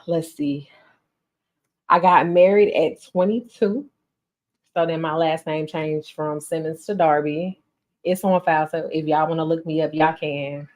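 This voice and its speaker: A woman, chatting casually and animatedly as a podcast host on a livestream. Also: sits low. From North Carolina, US. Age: 30s. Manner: chatty, animated, addresses listeners as y'all.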